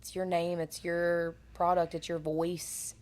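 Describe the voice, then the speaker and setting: Raspy-voiced young woman, low alto. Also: level tone, a little slow, a little mellow. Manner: earnest, rambling storytelling. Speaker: guest singer. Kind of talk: casual interview chat.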